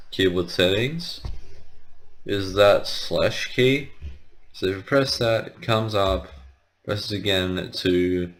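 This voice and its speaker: Guy doing a lets play, kinda low voice, talks real slow, unsure and rambly. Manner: hesitant, rambling, frequent self-correction. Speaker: gaming video host. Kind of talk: casual let's play commentary, explaining game menus.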